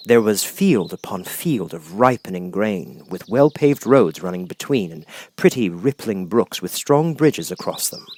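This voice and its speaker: A man reading a children's story aloud as an expressive audiobook narrator. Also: on the low side, a little hiss or hum.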